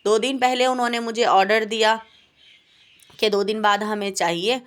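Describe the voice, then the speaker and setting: A woman's voice: a little high, steady tone, moderate pace. A woman with a sore, coughing throat, chatting in a rambling, plaintive voice-over for her cooking channel. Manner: plaintive, complaining, rambling with hesitations. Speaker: home baker and cooking channel host. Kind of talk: voice-over vlog chat. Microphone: home voice-over mic.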